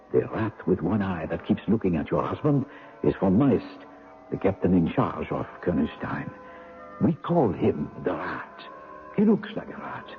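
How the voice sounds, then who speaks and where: A shrewd officer in a vintage radio thriller. His low voice swings expressively, deliberate and conspiratorial. It comes through thin, tinny broadcast sound. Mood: wry, knowing. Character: shrewd, loyal French officer plotting an escape. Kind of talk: old-time radio drama acting. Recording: tinny, band-limited old radio broadcast, music.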